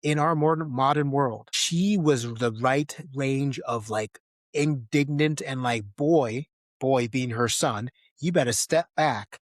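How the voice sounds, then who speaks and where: Guy on a movie podcast, normal pitch, chatty and animated, really dry sound. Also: slow, no room sound. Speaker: podcast co-host. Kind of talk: casual film review chat.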